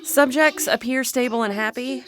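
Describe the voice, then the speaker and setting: An actress playing a formal alien research lead, dictating a clinical, deliberate log in an audio drama. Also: female voice, high-pitched, over a noisy crowd, natural voice. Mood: clinical, measured.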